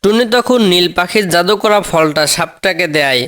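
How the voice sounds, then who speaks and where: A man's voice: high-pitched, expressive, normal speed, bright, forceful tone, clean tone. A man narrating a scripted children's cartoon story.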